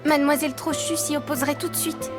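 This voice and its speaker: A woman's voice: high-pitched, fairly even intonation, quick pace, music in the background, lightly acted. An actress playing a shy, earnest, downtrodden little girl in a cartoon, polite and childlike in manner. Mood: sad, hesitant. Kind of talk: French animated series dubbing.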